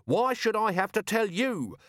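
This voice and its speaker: Male reader as a tired, fed-up city watch captain; lively, grumbling, exasperated. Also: sits high, unhurried, no room sound, clean studio sound, pitched above the performer's own voice, full character voice. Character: weary, exasperated middle-aged male watch commander.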